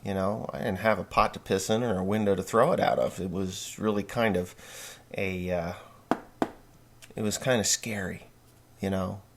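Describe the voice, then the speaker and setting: A man's voice: low-pitched, steady tone, moderate pace, creaky, a little hiss or hum. A man in his forties, from New Jersey, talking bluntly and folksily in a rambling monologue, with many fillers and restarts. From New Jersey, USA. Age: 40s. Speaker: podcast host.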